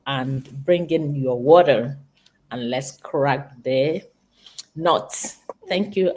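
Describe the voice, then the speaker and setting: A woman speaks in a fairly low voice. She introduces herself casually as a panelist. A little ambient noise runs behind her. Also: darkish tone. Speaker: panelist, nurse and medical student.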